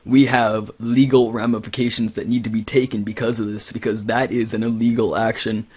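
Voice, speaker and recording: Man posing as a husky, officious website manager. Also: on the low side, flat delivery, fairly quick, darkish tone. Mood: solemn, official. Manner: mock-formal, hedging with official-sounding phrases. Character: stern, officious male website manager on a complaint call. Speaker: prank caller. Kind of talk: improvised prank phone call.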